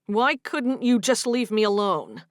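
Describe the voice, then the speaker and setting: A woman's voice: medium pitch, big pitch swings, unhurried, no echo, clean studio sound. A woman reading a romance audiobook, voicing a young man who is wounded and earnest as he confronts his girlfriend. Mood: hurt.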